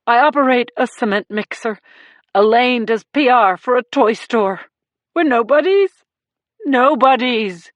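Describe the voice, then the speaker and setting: A big, grief-stricken husband pleads through sobs, his voice pushed high and loud with desperation, as a woman narrator acts him out. The audiobook recording is dry and close. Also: lively intonation, unhurried, no echo, muffled recording. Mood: anguished shouting. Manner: choked, sobbing pleas. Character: grieving husband, big man, sobbing, desperate.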